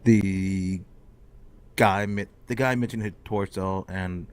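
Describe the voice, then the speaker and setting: A man's voice: low voice, steady tone, takes it slowly, smooth. A man playing a role-playing game, casually stating his actions and hesitantly thinking aloud.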